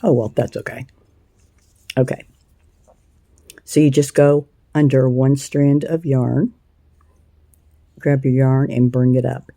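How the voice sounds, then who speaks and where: Woman, scratchy gravelly voice, dark tone, dry close sound, casual craft tutorial host. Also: very deep voice, no echo. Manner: chatty, unhurried, with frequent ums and uhs.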